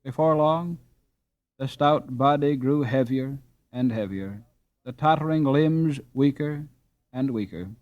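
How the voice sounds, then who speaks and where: A man reading a storybook aloud as an audiobook narrator, in an expressive, scripted style. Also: medium pitch, takes it slowly, lo-fi sound.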